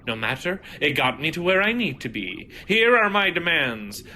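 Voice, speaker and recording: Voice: mid-range voice, sing-song, normal speed, bright, forceful tone, faint music behind. An actor playing an indignant, grandiose Martian rebel villain in an audio drama, speechifying in a theatrical, pompous way. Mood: commanding.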